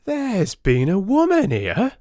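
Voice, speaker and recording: A man voicing an excitable, high-pitched Victorian police detective. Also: takes it slowly, no room sound, studio quality, heavily acted. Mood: triumphant surprise. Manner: exclamatory, emphatic.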